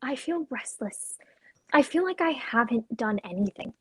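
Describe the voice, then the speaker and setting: A woman's voice: a little high, expressive, normal speed, slightly rough. A woman acting a restless, searching female character who longs for change, in a dramatic script reading.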